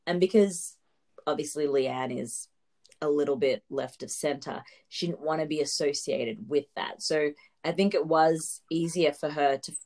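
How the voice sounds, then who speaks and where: A woman's voice: sits low, normal speed, darkish tone. A woman co-hosting a podcast, chatting about reality TV in long explanatory turns and laughing often. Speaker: podcast co-host. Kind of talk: casual chat recapping reality TV.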